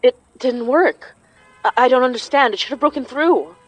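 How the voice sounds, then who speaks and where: A woman acting as an anxious but determined young folk magic practitioner in an audio drama, sometimes halting. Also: sits high, no echo, highs cut off, faint music behind. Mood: alarmed.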